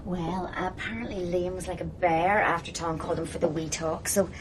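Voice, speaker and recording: A young Irish actress playing a nice, wry junior journalist in a naturalistic radio drama. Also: female voice, fairly low pitch, steady tone, moderate pace, background noise, natural voice. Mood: teasing. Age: young adult. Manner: wry, playful teasing.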